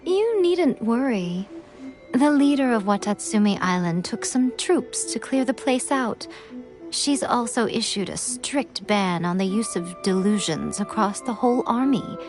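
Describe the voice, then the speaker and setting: An actress playing a teasing, elegant, centuries-old fox shrine maiden in a video game, sly, languid and condescending. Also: female voice, fairly high pitch, lively intonation, unhurried. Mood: calm, explanatory. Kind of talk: video game character dialogue. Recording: game music behind the voice.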